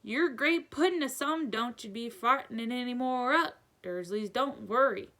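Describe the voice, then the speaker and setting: A gruff, kindly giant of a storybook as a woman reader puts him on. The voice booms and growls with a quick temper and drawn-out emphasis, bright and forceful, pushed well up in pitch. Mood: gruff, teasing. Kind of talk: children's storybook read-aloud.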